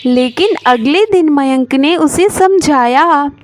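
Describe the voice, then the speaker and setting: High-pitched, unhurried woman storyteller. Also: dry recording. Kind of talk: scripted moral-story narration.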